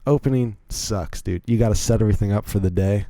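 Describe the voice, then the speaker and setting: A young American man with a low, deeply mellow voice, chatting his way through a solo podcast story. He rambles and cuts himself off with asides. The sound is dry and close, with no room around it. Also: brisk, no room sound. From Virginia, United States. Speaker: podcast host.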